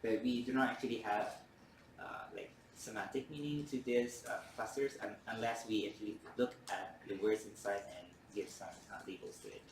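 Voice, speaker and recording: A man whose first language is Indonesian gives a university lecture in a lowish voice, stopping often on fillers and restarting his sentences. He sounds far from the mic in a roomy hall, with faint room hum behind him. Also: steady tone, moderate pace. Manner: hesitant, many fillers and restarts.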